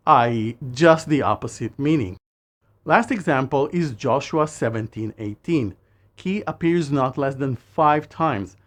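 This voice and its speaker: A man who speaks natively, narrating a scripted language lesson in a measured way and slowing down for phrases. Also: medium pitch. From Israel. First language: Hebrew. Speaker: language teacher.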